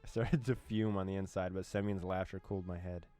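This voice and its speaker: Low-voiced man reading a game aloud. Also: steady tone, brisk, mellow, faint music behind. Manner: playful, laughing asides, dramatic reading. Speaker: let's play host. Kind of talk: reading a visual novel aloud with reactions.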